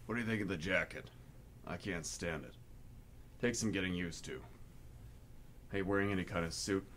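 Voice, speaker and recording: A man playing a blunt, world-weary young mercenary in a radio play monologue, gruff, colloquial and self-mocking. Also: sits low, moderate pace, a bit bright, slight background noise. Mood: uneasy grumbling. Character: world-weary, blunt male mercenary in his late twenties.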